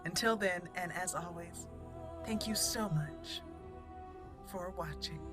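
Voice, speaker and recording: A woman hosting a roleplay playthrough, wrapping up the episode gently. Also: lowish voice, slow, over loud music. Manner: soft, formal when in character, relaxed outro. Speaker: host. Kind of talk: roleplay gameplay commentary.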